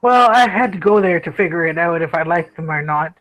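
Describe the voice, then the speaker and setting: A woman's voice: on the low side, lively intonation, brisk, poor audio. A woman in her thirties chatting casually in an online group, self-mocking and easygoing. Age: 30s. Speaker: livestream chat participant.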